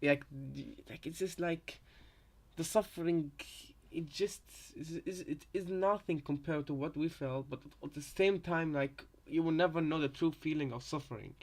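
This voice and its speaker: A man's voice: fairly high pitch, a little mellow. Rambling man chatting through game commentary. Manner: rambling, repetitive, halting. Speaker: reaction video co-host. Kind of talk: casual commentary on game voice lines.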